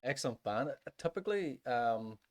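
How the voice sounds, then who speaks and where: A man with a Northern Irish accent chatting casually as a music podcast host, in a halting way that circles back to stress his points. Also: medium pitch, animated, a little mellow. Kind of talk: casual chat.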